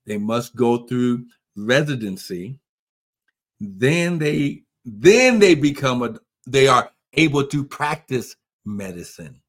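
A man's voice: medium pitch, very animated, slow. A man in a faith talk conversation, speaking in a preacherly way, quoting scripture and building to emphatic peaks.

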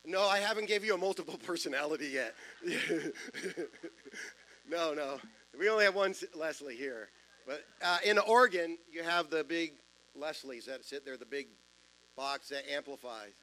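Deep-voiced preacher in a church. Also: male voice, moderate pace, pushed and bright, low-quality recording. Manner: conversational preaching with self-deprecating jokes and asides. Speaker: pastor. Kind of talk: sermon. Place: church sanctuary.

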